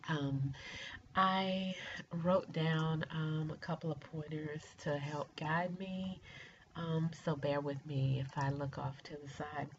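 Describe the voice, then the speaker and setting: A middle-aged woman with a raspy, congested voice from a cold, introducing herself informally and haltingly. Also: deep voice, fairly even intonation. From rural Georgia, United States. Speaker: doctoral student introducing herself. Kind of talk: informal self-introduction to an online class. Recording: muffled lo-fi video, faint noise.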